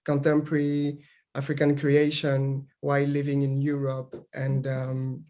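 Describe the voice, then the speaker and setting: Male panel guest, native French speaker from Gabon, hesitant English, monotone delivery. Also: medium pitch, unhurried. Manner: hesitant, many fillers and restarts. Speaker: panel guest, founder of an art platform. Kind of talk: panel discussion.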